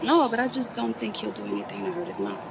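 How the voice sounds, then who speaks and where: Guarded, creaky-voiced protective friend. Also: female voice, a little high, huge pitch swings, quick pace, heavily acted. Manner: evasive, protective. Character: guarded young woman shielding her friend. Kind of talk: screen drama acting. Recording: loud ambient background noise.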